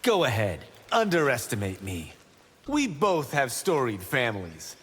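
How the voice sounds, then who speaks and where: A young Shaolin fighter full of playful confidence. His mid-pitched, animated voice banters cockily in a reverberant game mix. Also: unhurried, breathy edge, heavily acted. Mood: confident. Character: cocky, wisecracking young Shaolin monk fighter. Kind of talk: video game character acting.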